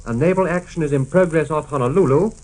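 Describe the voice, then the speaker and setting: A male newsreader delivers a 1940s wartime-era radio bulletin. His voice is fairly high and his delivery is formal and clipped, with the crackle of an old recording. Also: fast, darkish tone.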